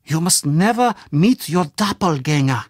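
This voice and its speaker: A man's voice: a little high, expressive, no echo. Game role: eccentric older German man, anxious and gloomy, swooping intonation, dry close sound. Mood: gloomy, haunted. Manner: fretful, melodramatic, halting formal phrasing. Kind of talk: video game character acting.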